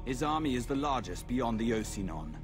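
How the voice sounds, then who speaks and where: An actor playing a secretive, calm, commanding officer with clipped authority in a video game. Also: male voice, medium pitch, brisk, over music, close to an everyday voice. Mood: assured, secretive. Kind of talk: scripted video game cutscene acting.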